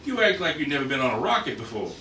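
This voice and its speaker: A man's voice: mid-range voice, very animated, quick pace, lightly acted. A man playing an easygoing, teasing rocket crewman in a read-through of a retro space adventure script. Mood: reassuring. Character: easygoing, teasing male rocket crewman defending a young woman. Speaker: actor at a script table read. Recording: echoey room, distant mic, noisy.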